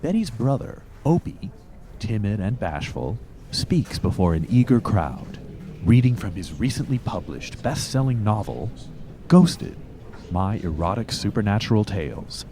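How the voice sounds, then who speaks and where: A man's voice: fairly low pitch, very animated, unhurried, mellow, slight background noise. A man giving dramatic, theatrical storyteller narration for a comedy audio drama.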